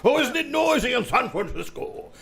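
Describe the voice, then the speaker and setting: A grandiose, shouting, high-pitched suitor. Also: male voice, big pitch swings, creaky, heavily acted. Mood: florid, effusive. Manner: booming, florid, drawn-out declamation. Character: grandiose disguised male caviar salesman wooing a countess. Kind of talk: hammy old-time radio play-within-a-play.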